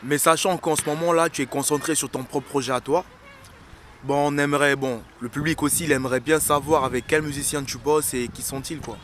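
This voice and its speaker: A man's voice: on the high side, fairly even intonation, a little fast, fairly bright tone. A man interviewing a musician casually, asking questions and repeating his guest's answers back to him. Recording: outdoor recording with faint street noise.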